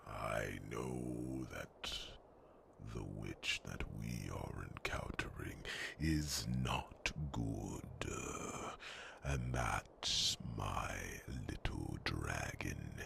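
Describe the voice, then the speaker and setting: A man's voice: animated, background noise, heavily acted. A man voicing a huge ancient dragon in an ASMR fantasy roleplay, a brooding, fond protector who speaks formally in a growling whisper with pensive hums. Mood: grave, pensive. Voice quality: deep gravelly growl, breathy whispering. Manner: slow, ponderous, formal phrasing with pensive hums.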